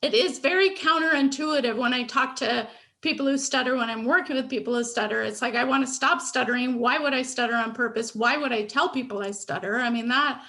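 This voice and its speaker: Woman panelist, speech pathologist, gravelly tone, open stutters and ums, video call audio. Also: on the high side, a little fast. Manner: warm, candid, with stutters, fillers and restarts.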